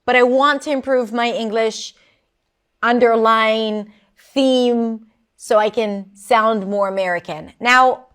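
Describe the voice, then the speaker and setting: A woman coaching a learner on English pronunciation, answering his recorded question. She speaks in an encouraging, expressive way, with a voice pitched a little above the usual for women. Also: slow pace, smooth.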